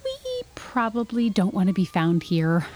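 A woman acting a wry, practical, steady companion in a science fiction audio drama. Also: medium pitch, a little slow. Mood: dry, practical.

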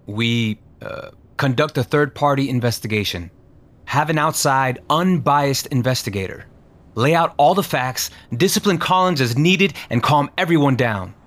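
A man's voice: medium pitch, lively intonation, normal speed, a bit bright, close to an everyday voice. A man playing an earnest, loyal police chief, deferential but firm, in an audio drama. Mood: measured. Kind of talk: scripted audio drama acting.

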